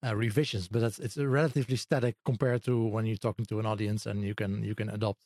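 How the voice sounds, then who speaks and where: A man's voice: fairly low pitch, steady tone, quick pace, darkish tone, dry recording, clean studio sound. A man interviewing an author, asking long questions with lots of hesitation. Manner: hesitant, many fillers and restarts. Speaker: podcast interviewer.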